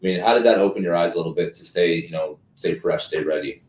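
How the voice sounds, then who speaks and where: A man with a low, deep voice talks shop like a coach, loose and full of fillers. He sounds set back from the mic in an echoey room. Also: quick pace, a little mellow, far from the mic. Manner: conversational, coaching, filler-heavy. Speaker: strength coach and host. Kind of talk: casual podcast chat.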